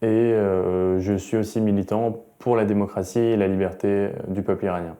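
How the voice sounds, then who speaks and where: A man, talking earnestly and haltingly in an interview, with many fillers and restarts. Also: fairly low pitch, steady tone, dark tone. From France. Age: 20s. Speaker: activist. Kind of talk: interview and conversation.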